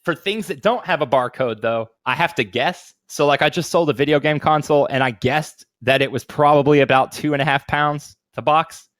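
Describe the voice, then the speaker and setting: A man's voice: fairly high pitch, a little fast, hardly any pauses, a bit bright, no room sound, studio quality. A man in his thirties chatting casually as a podcast co-host, informal and swearing freely with lots of dude slang. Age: 30s. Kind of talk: casual chat.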